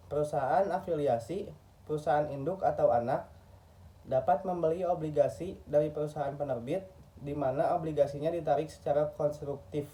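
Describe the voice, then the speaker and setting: A man's voice: fairly high pitch, dark tone. A man from Indonesia reads a scripted lecture in a formal textbook manner, stumbling over a word now and then. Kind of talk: scripted lecture narration, read aloud. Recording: muffled, distant microphone.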